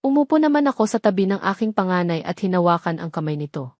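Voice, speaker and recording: A woman's voice: fairly low pitch, sing-song, fast, a little mellow, no echo. Filipino woman narrating, dry close recording. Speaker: audio story narrator. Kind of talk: scripted romance story narration.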